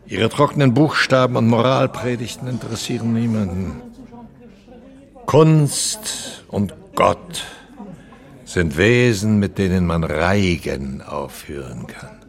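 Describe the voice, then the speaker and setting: Radio drama: aging male opera star, low-pitched, creaky, pompous and self-aggrandizing, declamatory delivery. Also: animated, takes it slowly, heavily acted. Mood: haughty. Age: 80s. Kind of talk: German audio drama dialogue and monologue.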